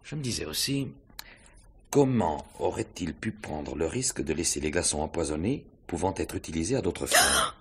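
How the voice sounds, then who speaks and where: An actor playing a calm, methodical police inspector in a radio mystery, explaining in a measured, composed way. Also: male voice, a bass voice, slightly rough, exaggerated voice. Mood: probing. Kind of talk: French radio mystery drama acting.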